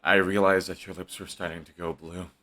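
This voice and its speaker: A man's voice: sits low, flat delivery, brisk. A man voicing a brooding villain who is defensive and lovestruck, talking close and intimately to the listener. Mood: hushed, tender. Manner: intimate, shifts between hushed and heated. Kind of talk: audio roleplay monologue.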